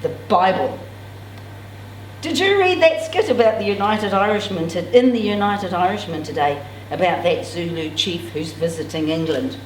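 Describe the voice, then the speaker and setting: A woman's voice: lowish voice, animated, moderate pace. A woman in her sixties reading a novel aloud expressively, with a theatrical, declamatory touch to the dialogue. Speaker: amateur reader at a literary event. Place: indoors, in a function room. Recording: roomy, distant mic, clear background noise.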